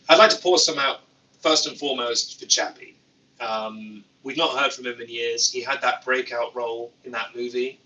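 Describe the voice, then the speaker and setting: A man hosts a relaxed livestream, reading comments with deadpan, playful humor. His voice is very bright and sharp, at a middle pitch, picked up a little away from the mic. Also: far from the mic, slight background noise, rough recording. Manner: deadpan, playful, jokey asides. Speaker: YouTuber livestream host.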